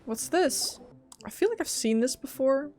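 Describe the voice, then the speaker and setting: A woman's voice: sits high, lively intonation, moderate pace, a little mellow. A woman streaming a game, reacting casually in a playful, candid, chatty way. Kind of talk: casual gameplay reaction and reading along.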